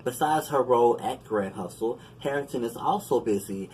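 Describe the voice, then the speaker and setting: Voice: medium pitch, moderate pace, darkish tone. An interview host asking questions in a casual, playful way and affirming the guest often. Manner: friendly, playful, lots of affirming mm-hmms. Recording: distant mic with light background noise.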